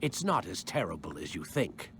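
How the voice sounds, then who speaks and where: An actor playing a condescending, secretive scientist captor in a video game, wry and clipped. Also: male voice, low-pitched, very animated, normal speed, fairly bright tone, a little hiss or hum, full character voice. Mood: impatient, smug. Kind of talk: dramatic video game acting.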